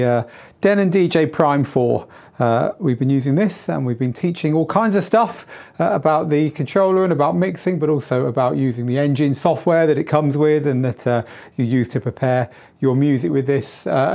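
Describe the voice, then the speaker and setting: A middle-aged man with a tired, slightly hoarse voice, hosting a live-streamed DJ Q&A from a small studio on a lapel mic, chatty, with lots of fillers and restarts. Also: a little high, moderate pace, dark tone. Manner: moves around gesturing at equipment while talking. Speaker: DJ tutor and live Q&A host. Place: small home studio with shelves of gear.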